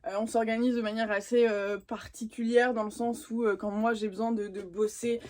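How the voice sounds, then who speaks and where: A woman's voice: fairly high pitch, flat delivery, moderate pace, a little mellow. Young woman chatting casually. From France. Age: young adult. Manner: informal, slangy. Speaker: vlogger. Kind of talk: casual chatty makeup vlog.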